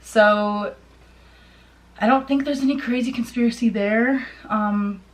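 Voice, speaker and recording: A woman's voice: a little high, steady tone, a little slow, low-quality recording. A young woman chatting casually as a vlogger, gushing and rambling in an informal way. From Ontario, Canada. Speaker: vlogger showing a personal collection. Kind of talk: casual chat to camera.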